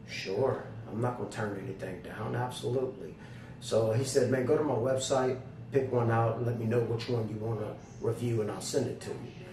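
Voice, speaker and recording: A slightly hoarse man giving a casual, folksy product review straight to his viewers. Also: lowish voice. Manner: folksy, colloquial, addressing viewers directly. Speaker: product reviewer, channel host. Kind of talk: casual chatty holster video review. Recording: roomy, distant mic with loud background noise.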